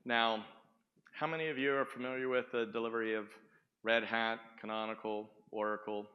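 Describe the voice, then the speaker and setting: A man giving a sales presentation at a tech conference, booming and often asking the audience questions. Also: level tone, moderate pace, clear, resonant tone, muffled recording. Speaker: conference speaker. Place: conference room. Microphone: speaking without the microphone at first.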